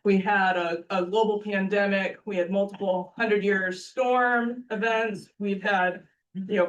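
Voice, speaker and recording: A woman's voice: medium pitch, distant mic, low-quality recording. A woman giving upbeat, earnest opening remarks as executive director at a breakfast panel, with some ums. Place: meeting room.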